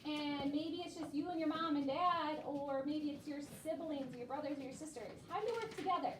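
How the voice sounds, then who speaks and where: A woman's voice: high voice, fairly quick. A woman primary school teacher leading a classroom discussion with young children, encouraging them and repeating their answers back. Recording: echoey room, distant mic, clear background noise.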